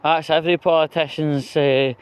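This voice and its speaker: A man's voice: fairly high pitch, steady tone, moderate pace, clear, resonant tone, low-quality recording. A Scottish man giving a rambling, conversational political monologue as a vlogger, blunt, sweary and opinionated, often checking in with tag questions.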